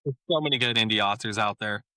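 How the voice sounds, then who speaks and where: Bright-voiced laid-back man, chuckling. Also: lowish voice, quick pace, clean tone, dry recording. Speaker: author guest. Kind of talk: casual interview chat.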